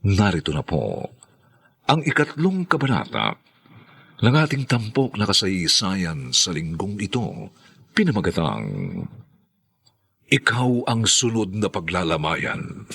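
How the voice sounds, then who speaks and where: A man with a Filipino accent announcing a radio drama in a formal, dramatic, drawn-out style. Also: low-pitched, very animated, vocal fry, fairly bright tone, husky. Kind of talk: formal scripted program introduction.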